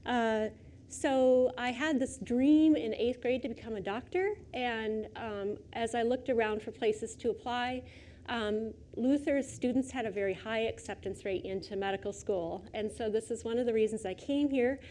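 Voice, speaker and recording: A soft-spoken middle-aged woman giving a public lecture in a lecture hall, chatty and personal. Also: on the high side, moderate pace, slight background noise. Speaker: guest lecturer. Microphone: lecture microphone.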